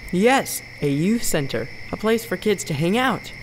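A man with an American accent acting a friendly, concerned young youth-group member in an amateur radio drama, gently inquisitive. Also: sits high, expressive, unhurried, a little hiss or hum, poor audio.

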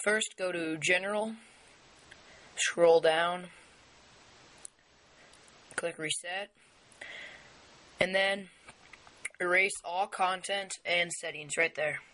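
Hoarse young man with a bright voice. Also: high voice, fairly even intonation, takes it slowly, long pauses, rough recording. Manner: rambling, hesitant, many fillers. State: losing his voice. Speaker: tech video maker. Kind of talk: casual screen walkthrough. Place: indoors at a wooden table. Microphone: camera pointed down at a device on a table.

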